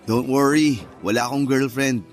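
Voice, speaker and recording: A man's voice: medium pitch, normal speed, faint music behind, lightly acted. A man playing an easygoing, courteous young man courting his old sweetheart in a Filipino radio drama, in casual Taglish. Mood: candid.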